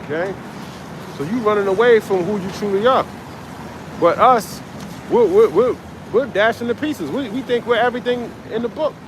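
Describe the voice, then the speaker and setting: High-voiced guy preaching on a livestream outside, cussing and reading psalms at people, loud street noise around. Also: normal speed. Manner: confrontational, profane, reads and expounds scripture. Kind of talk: live-streamed preaching.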